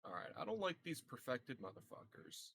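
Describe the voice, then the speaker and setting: A man's voice: a little high, big pitch swings, normal speed, vocal fry, fairly bright tone. A man chatting casually as a player in a tabletop game, informal and given to swearing.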